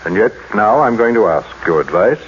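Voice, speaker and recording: A man's voice: on the low side, animated, brisk, natural voice. An actor playing a cold, suspicious middle-aged businessman in an old-time radio drama, with a brusque, commanding manner. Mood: probing, controlled. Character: cold, imperious, suspicious businessman husband, decisive. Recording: muffled, noisy old radio recording.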